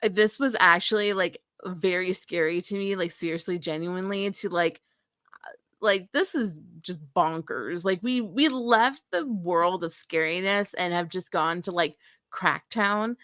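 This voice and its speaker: A woman's voice: fairly low pitch, a little slow, a bit bright, dry recording, studio quality. A woman co-hosting a podcast, chatting casually and emphatically, often repeating words for stress. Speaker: podcast co-host. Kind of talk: casual chat.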